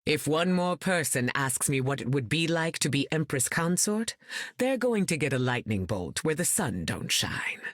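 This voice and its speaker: Regal game heroine, stately, measured, expressive intonation, dry wit, close dry sound. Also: female voice, low voice, no echo. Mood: proud, wry. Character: regal, composed weather-controlling mutant heroine. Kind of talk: video game character lines.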